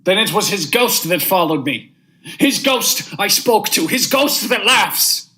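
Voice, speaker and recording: A man's voice: high-pitched, lively intonation, measured pace, pushed and bright, exaggerated voice. A young man playing a panicked, guilt-haunted judge who has killed, in a melodramatic vintage radio thriller style, stammering when rattled. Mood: uneasy. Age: young adult. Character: panicked, guilt-haunted judge, murderer narrating his own downfall.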